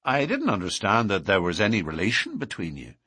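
Guy doing a bold young man in a story, cool and defiant, protective, husky, lively pitch. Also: fairly quick, dry recording, studio quality, heavily acted. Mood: cool defiance. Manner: cool, challenging, tender to his sweetheart.

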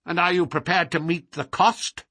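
A man voicing a querulous, miserly little old lord with a sharp crackle and a quick, intense manner. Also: fairly high pitch, steady tone, slightly rough, no room sound, clean studio sound, pitched above the performer's own voice, exaggerated voice. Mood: querulous, suspicious.

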